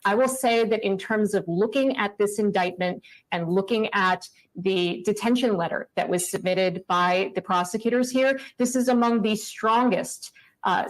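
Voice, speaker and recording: A woman and former prosecutor explaining a criminal case in a measured, authoritative way on a TV news panel. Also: mid-range voice, poor audio. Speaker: former sex crimes prosecutor, legal analyst.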